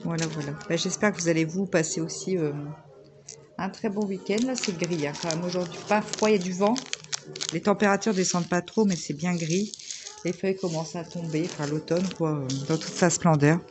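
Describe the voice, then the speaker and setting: Chatty, slightly hoarse woman vlogger. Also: fairly low pitch, fairly even intonation, brisk, noisy background. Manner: chatty, rambling, many fillers. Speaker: diamond painting vlogger. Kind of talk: casual chat while crafting.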